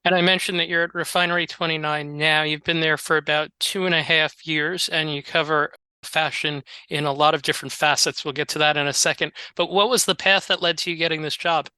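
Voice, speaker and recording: A man's voice: fairly high pitch, fairly even intonation, fairly quick, sharp tone, smooth, no echo, poor audio. A man hosting a podcast who asks short, friendly questions and laughs along with his guest. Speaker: podcast host. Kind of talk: interviewer asking questions.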